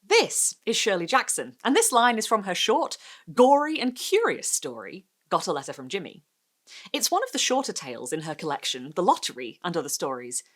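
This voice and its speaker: A woman host delivers scripted narration with theatrical flair. Her pitch moves very expressively, and she lingers on pauses for drama.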